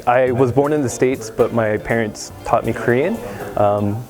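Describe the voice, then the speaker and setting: A young man, a student, giving calm, composed interview answers. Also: mid-range voice, a little slow. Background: background music.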